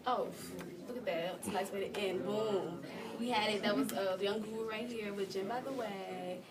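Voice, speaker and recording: A woman interviewer asking casual questions in a quick, chatty way and agreeing often. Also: mid-range voice. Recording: far from the mic in a loud crowd.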